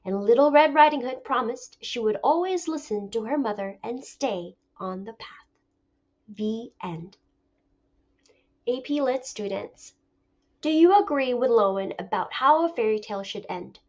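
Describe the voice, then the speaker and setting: A woman teacher reading a picture book aloud as a lesson, with deliberate, expressive storytelling. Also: fairly high pitch. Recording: dull lo-fi recording.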